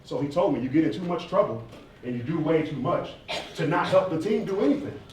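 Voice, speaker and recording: A man's voice: on the high side, animated, brisk, a little mellow, recorded from a distance. A man narrating his own story casually to a live audience from a stage, heard over a PA. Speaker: narrator of an autobiographical stage play. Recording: echoey stage PA recorded from afar, faint noise.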